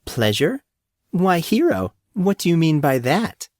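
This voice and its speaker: A man's voice: on the high side, huge pitch swings, a little slow, a little mellow, no echo, clean studio sound, pitched above the performer's own voice. A man voicing a playful, devious teenage girl in an audiobook, with a coy and chirpy delivery. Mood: coy teasing. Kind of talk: audiobook character acting.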